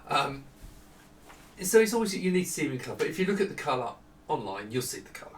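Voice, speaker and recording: A man's voice: a little high, big pitch swings, quick pace. Echoey, distant middle-aged man presenting casually. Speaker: product designer presenting his monitors. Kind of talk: casual unscripted product walkthrough. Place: small home office indoors with studio lighting. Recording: echoey room, distant mic, faint noise.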